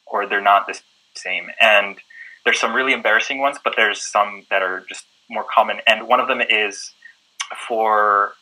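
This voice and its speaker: A man with a Southern American accent speaks in an easygoing, chatty way. His voice is very bright, and he often checks in with a quick "right?". He sounds like a teacher explaining things in an interview. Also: fairly low pitch, fairly even intonation, low-quality recording. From southern United States. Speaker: guest English teacher. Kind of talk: casual interview answers.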